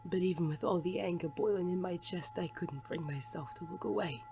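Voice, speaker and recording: An actor playing a gruff, defiant, world-weary detective in a noir audio drama, blunt and sardonic, with a husky rasp. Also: mid-range voice, brisk, a little mellow, soft background music, natural voice. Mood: brooding narration.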